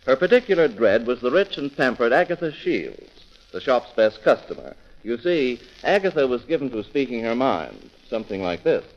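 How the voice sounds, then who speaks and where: A man's voice: mid-range voice, sing-song, normal speed. A man narrating a 1930s radio romance as scripted, dramatic storytelling. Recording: muffled, crackling 1930s radio recording.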